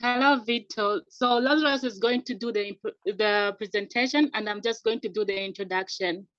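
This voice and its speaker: A woman's voice: mid-range voice, moderate pace, fairly bright tone, no room sound. A young woman from Zambia, a farmer and agricultural consultant, introducing her team on a call. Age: young adult. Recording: dry muffled call audio.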